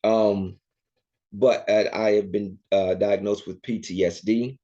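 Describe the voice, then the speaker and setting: A man's voice: lowish voice, fairly even intonation, slow pace. A man speaking as a podcast guest, an entertainer and author, talking earnestly and reflectively in a casual interview. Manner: earnest and reflective, with soft laughs. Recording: dull lo-fi audio.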